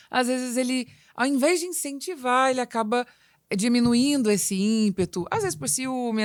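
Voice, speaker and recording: A woman with a Brazilian Portuguese accent, interviewing guests conversationally as a podcast host. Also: fairly high pitch.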